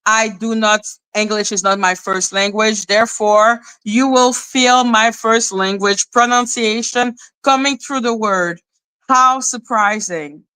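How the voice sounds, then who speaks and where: Bright-voiced woman ranting. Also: fairly high pitch, steady tone, measured pace, clear, resonant tone, no echo, low-quality recording. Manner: sarcastic, exasperated, rambling. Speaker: livestream host. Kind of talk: ranting live-stream commentary.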